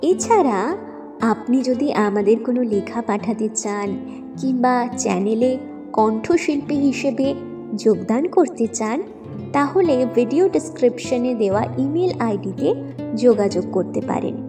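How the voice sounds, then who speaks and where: A woman's voice: fairly high pitch, expressive, measured pace. A woman reading a scripted, polite and formal announcement to listeners. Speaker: channel announcer. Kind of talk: scripted channel outro. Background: music with guitar.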